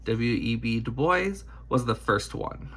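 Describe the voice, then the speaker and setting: A man narrates a children's biography as an expressive read-aloud. His voice is husky, with a gravelly creak at the edges. The intonation swings widely while the phrasing stays measured and deliberate. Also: mid-range voice.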